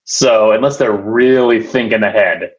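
A man in a casual group discussion, joking and acting out quick bits of dialogue. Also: on the low side, dull audio.

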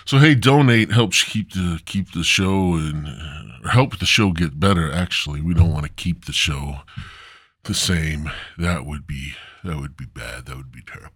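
A man hosting a solo comedy podcast, rambling casually and crudely with drawn-out, mock-grandiose theatrical delivery. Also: rumbling low, fairly bright tone, slightly rough, no room sound, studio quality. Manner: crude, foul-mouthed. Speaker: podcast host.

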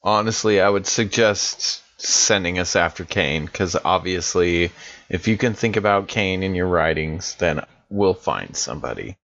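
A man's voice: low-pitched, expressive, unhurried, vocal fry, a bit bright, no room sound. A man playing a character casually in a tabletop roleplay podcast, on a slightly dull recording. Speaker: player in an actual-play audio drama podcast.

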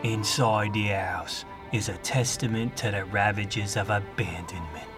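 Fairly deep male storyteller with music behind. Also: steady tone, unhurried. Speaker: announcer and narrator. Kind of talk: scripted dramatic storytelling narration.

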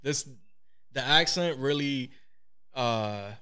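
A man's voice: medium pitch, slow pace, bright, forceful tone, smooth. A man co-hosting a podcast in casual chat, laid-back and slangy, laughing often. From New York. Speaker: podcast co-host.

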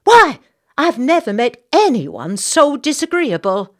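A woman's voice: sits high, sing-song, takes it slowly, pitched above the performer's own voice, heavily acted. A woman voicing a fretful, bossy, gossipy Southern society matron in an audiobook, with an American Southern accent and an indignant, insistent manner. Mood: indignant gossip.

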